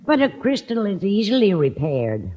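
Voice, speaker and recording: Older female landlady, fond, inquisitive, swooping pitch, 1950s radio comedy, dull vintage recording. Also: sing-song, normal speed. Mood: puzzled, inquisitive. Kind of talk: 1950s radio sitcom acting. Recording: muffled old-time radio recording.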